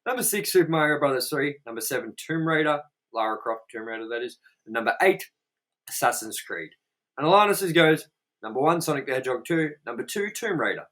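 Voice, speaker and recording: Man, casual review host, crisp bright voice, middle pitch, joking, swears a lot. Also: lively intonation, a little fast. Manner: jokey, loose and swears freely. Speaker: show host. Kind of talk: casual movie review chat.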